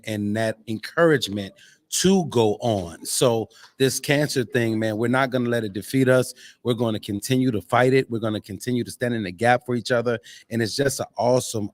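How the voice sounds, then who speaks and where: A man's voice: mid-range voice, no echo. An American man with a mid-range voice hosts in a casual live-chat style. He speaks earnestly and encouragingly, with very little room sound around him. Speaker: podcast host and actor.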